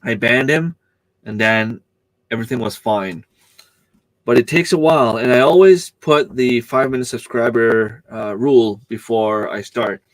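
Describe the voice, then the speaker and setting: A man's voice: medium pitch, moderate pace, dry recording, rough recording. Hesitant French-native man chatting on a dry live stream. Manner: hesitant, searching for words with many fillers and restarts; answers viewer chat comments as they come. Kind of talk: casual live stream chat.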